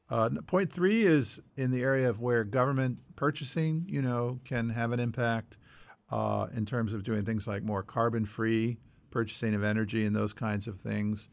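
A man co-hosting a podcast, talking through news points in a measured, explanatory way with frequent qualifiers and a gravelly edge. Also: fairly low pitch. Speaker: podcast co-host. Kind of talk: conversational news discussion working through numbered points.

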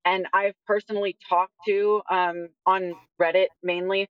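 A woman's voice: medium pitch, level tone, measured pace, no room sound, lo-fi sound. A woman in her twenties talking earnestly as a guest in a conversational discussion, often saying "like". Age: 20s. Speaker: guest, detransition advocate.

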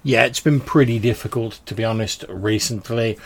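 A man's voice: on the low side, fairly even intonation, moderate pace. A man hosting a podcast, chatting informally and casually as he replies to listener messages. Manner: informal, chatty, often says "dude". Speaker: podcast host. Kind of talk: casual podcast talk responding to listener voicemails.

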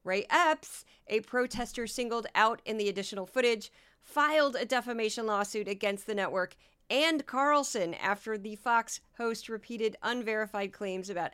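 Woman podcast host, sardonic commentary delivery, voice fading, smooth and higher-pitched. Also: bright, forceful tone. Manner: sardonic, talks to listeners directly. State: losing her voice.

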